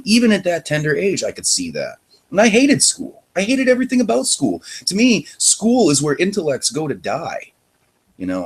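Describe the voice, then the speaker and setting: A young man from Maine with a deep voice, hosting and telling his own life story in a rambling, candid way that turns heated and emphatic. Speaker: podcast host. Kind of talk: personal testimony monologue.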